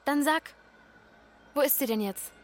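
A woman's voice: high voice, unhurried. A woman playing a bold, teasing child explorer in a radio drama, with an or lilt. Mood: curious, teasing. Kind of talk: naturalistic radio drama dialogue.

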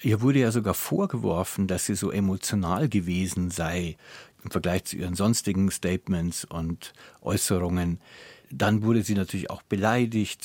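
A man hosts an interview in a fairly low voice. His phrasing is articulate and reflective, and the sound is dry, with very little room around it. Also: darkish tone, no room sound. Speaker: radio interviewer. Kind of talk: interview questions and moderation.

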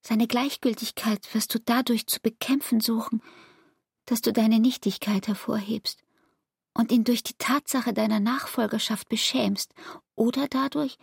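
Hurt young woman in love, radio play, creaky husky voice, kinda high, really close and dry. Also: fairly even intonation, measured pace, no room sound, full character voice. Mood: bitter, reproachful. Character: Frieda, wounded, earnest young barmaid in love. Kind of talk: literary radio drama, monologue.

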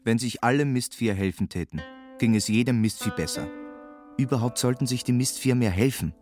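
A man's voice: mid-range voice, fairly quick. A man narrates a literary story, relaying what its characters say. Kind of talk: literary narration in reported speech. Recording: music behind the voice. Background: music.

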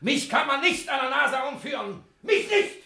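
An actor playing a hot-headed, jealous husband in a German radio drama, agitated and blustering. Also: male voice, extremely high pitch, animated, moderate pace, creaky, heavy background noise, exaggerated voice. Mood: angry, threatening.

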